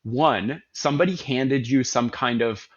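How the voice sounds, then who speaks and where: A man hosting an interview, asking relaxed questions with plenty of fillers. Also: mid-range voice, unhurried, dull audio. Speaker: host. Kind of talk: casual interview.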